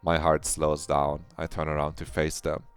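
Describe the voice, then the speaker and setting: A man's voice: rumbling low, monotone, moderate pace, clear, resonant tone, no room sound, faint music behind. A man streamer reading a visual novel aloud in a subdued, murmured voice.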